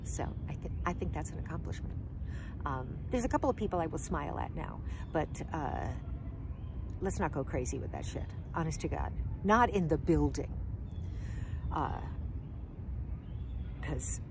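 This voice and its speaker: Wry older American woman with a low voice. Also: normal speed, gravelly, pauses often. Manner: wry, self-deprecating deadpan asides. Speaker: vlog host telling personal anecdotes. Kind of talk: casual, rambling comic reminiscing. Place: outdoors in a wooded park. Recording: noisy outdoor recording, traffic-like rumble.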